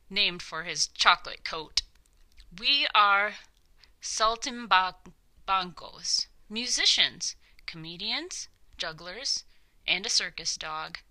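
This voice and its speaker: A woman's voice: mid-range voice, pushed and bright, no room sound, heavily acted. A woman voicing a gallant, merry male street performer with a bold, almost sing-song delivery in a children's storybook.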